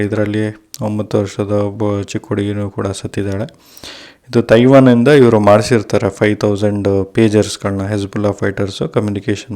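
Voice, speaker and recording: A man's voice: fairly low pitch, darkish tone. A man from India presents an informal news explainer, mixing in English terms. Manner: colloquial, mixes in English terms. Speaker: video presenter.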